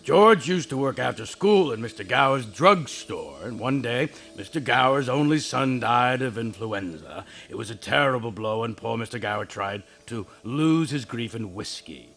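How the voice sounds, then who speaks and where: A man's voice: medium pitch, lively intonation, creaky, fairly bright tone. A man narrating and explaining a story in a radio play. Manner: storytelling, measured. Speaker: senior angel narrating.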